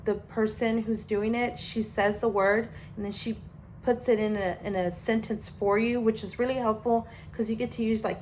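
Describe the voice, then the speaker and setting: A woman's voice: mid-range voice, a little hiss or hum, low-quality recording. A young adult woman, a language student, gives a casual spoken class presentation in a chatty way, full of fillers and restarts.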